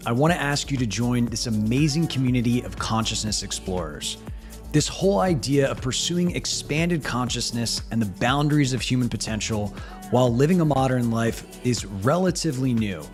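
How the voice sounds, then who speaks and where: Male podcast host, polished upbeat intro, mid pitch, faint music bed. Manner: upbeat, polished announcer delivery. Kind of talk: scripted intro and interview.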